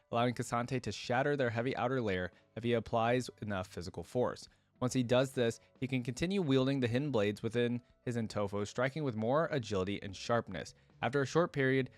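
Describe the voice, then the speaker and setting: A man's voice: medium pitch, dry recording. A man hosting a reaction video, reading lore aloud and adding casual, informal commentary as he goes.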